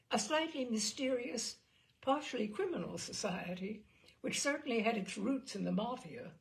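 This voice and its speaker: A woman reading a memoir aloud as a narrator, in a wry and expressive storytelling manner. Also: mid-range voice, vocal fry, low-quality recording. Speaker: reader. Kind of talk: audiobook narration.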